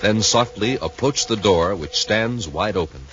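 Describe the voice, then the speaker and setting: A man's voice: fairly low pitch, expressive, unhurried, a bit bright. A man narrating a 1950s radio adventure serial in a suspenseful, declamatory announcer style. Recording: muffled, noisy 1950s radio recording.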